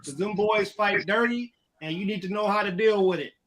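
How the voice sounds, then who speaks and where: A man's voice: high voice, normal speed, fairly bright tone, rough recording. A man joking and teasing in a group chat, with dialect slang. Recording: crowd noise behind.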